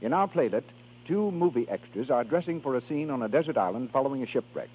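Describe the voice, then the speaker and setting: A man announcing in a scripted 1930s radio style, delivering continuity and sales pitches. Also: expressive, quick pace, a little hiss or hum. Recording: tinny 1930s radio recording.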